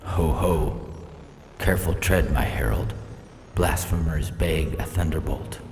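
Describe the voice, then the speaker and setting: A man voicing a gloating trickster god in grandiose, archaic, drawn-out phrases for a science fiction story. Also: very deep voice, fairly even intonation, darkish tone, echoey room, long reverb tail. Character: gloating trickster god with pointed metallic teeth. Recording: music behind the voice.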